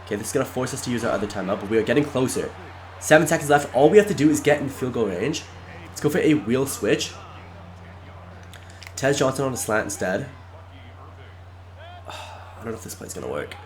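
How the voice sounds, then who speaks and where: Congested man excitedly narrating his gameplay. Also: medium pitch, fairly quick, pauses often. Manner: excitable, shouts at close plays. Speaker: gaming YouTuber. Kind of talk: live gameplay commentary.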